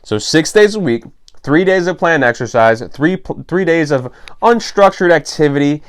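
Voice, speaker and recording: A young adult man hosting his own fitness podcast, reading an article aloud with dry, sarcastic asides, in what he calls a monotone voice. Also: fairly high pitch, normal speed, smooth. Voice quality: self-described monotone voice. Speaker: podcast host.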